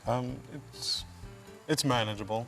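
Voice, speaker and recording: A man with an American accent, a company director answering interview questions in a relaxed, hesitant way with many fillers. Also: medium pitch, slow pace, vocal fry, over music. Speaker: company director, studio guest.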